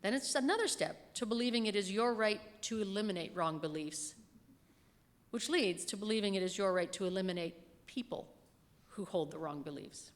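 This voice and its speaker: Middle-aged woman reading a sermon in a reverberant hall. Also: mid-range voice. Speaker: lay reader delivering a minister's sermon. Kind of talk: sermon read from a script at a lectern. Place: church hall, indoors at a pulpit. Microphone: gooseneck lectern microphone. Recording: echoey hall with long reverb.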